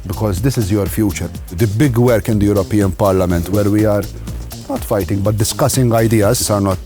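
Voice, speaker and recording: A man, a member of parliament, speaking formally to welcome and explain, with slightly halting phrasing. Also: on the low side, mellow, faint music behind. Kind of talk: explanatory remarks.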